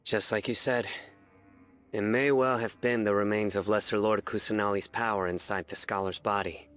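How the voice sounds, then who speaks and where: A man playing a composed scholar in a game, measured and terse as he speaks. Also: fairly low pitch, steady tone, close to an everyday voice. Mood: thoughtful. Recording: soft game music behind voice.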